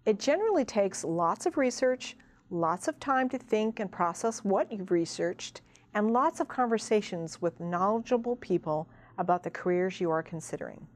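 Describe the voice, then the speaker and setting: A woman presenting college advice as scripted informational narration, in a measured, explanatory way. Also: medium pitch, expressive.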